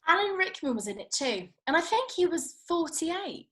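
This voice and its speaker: A woman's voice: very high voice, sing-song, normal speed, sharp tone, exaggerated voice. A woman acting a feisty, blunt young working-class woman in a comedy script read, colloquial and sweary. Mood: chatty.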